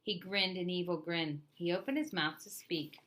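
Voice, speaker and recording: A woman reading a children's picture book aloud with lively, expressive storytelling. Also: fairly low pitch, normal speed.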